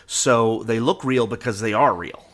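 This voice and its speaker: A man in his fifties co-hosting a podcast, chatting casually and reminiscing in a halting way, repeating phrases as he goes. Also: medium pitch, fairly bright tone. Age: 50s. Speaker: podcast co-host. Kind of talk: casual reminiscing fan chat.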